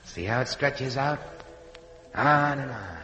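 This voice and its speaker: An actor playing an ominous dead pal who has become a calm ghostly guide in a radio horror play, speaking with slow menace. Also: male voice, mid-range voice, a little hiss or hum. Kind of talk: old-time radio horror acting. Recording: echoey, long hollow reverb.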